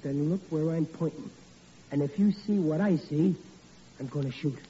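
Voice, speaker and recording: A man playing a bumbling comic father who stammers and blusters, his voice high but mellow. The sound is dull and lo-fi with faint hiss, like an old radio play. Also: normal speed, natural voice. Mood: blustering. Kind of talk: 1940s radio acting.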